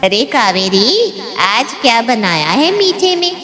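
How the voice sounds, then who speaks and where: A woman's voice: on the high side, sing-song, slow, a bit bright, soft background music, full character voice. A woman playing a nosy, chatty neighbourhood auntie in a family story drama. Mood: nosy, puzzled. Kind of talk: hammy family story dialogue. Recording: echoey, long reverb.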